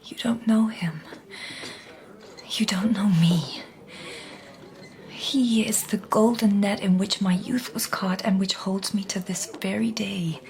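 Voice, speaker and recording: A woman's voice: mid-range voice, fairly even intonation, slow, creaky, long pauses, a little mellow. A poor but proud elder sister in a radio play. Her voice sits mid-range, often slipping into breathiness, wistful and stubborn at once. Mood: grieving, defiant. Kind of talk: radio drama acting.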